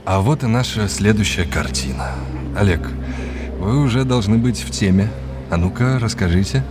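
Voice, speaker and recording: A man's voice: lowish voice, normal speed, noisy background, close to an everyday voice. An actor playing a courteous, erudite male art historian in an audio drama, explaining and quizzing like a teacher. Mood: engaged, explanatory.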